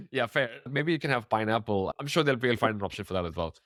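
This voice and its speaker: A man hosting a podcast interview, asking questions in an upbeat way with quick interjections. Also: medium pitch, animated, fairly bright tone, no room sound, studio quality. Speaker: podcast host. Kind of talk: casual interview chat.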